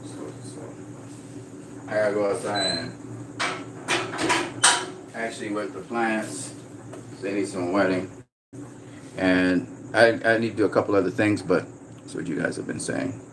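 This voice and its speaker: Low-voiced Trinidadian man chatting on stream. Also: measured pace, pauses often, background noise. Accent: slight American-sounding Trinidadian. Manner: relaxed, reads out viewer comments. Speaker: vlogger. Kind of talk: casual livestream chat with viewers.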